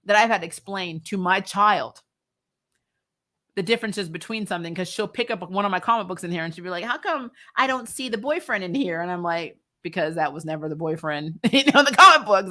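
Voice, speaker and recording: A woman with a bright, forward voice at an ordinary female pitch hosts a casual recap chat. She talks bluntly and with strong opinions, swears freely and often breaks into laughter. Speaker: host of a comics and TV recap show. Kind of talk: casual chat, reviewing superhero shows and answering viewer comments.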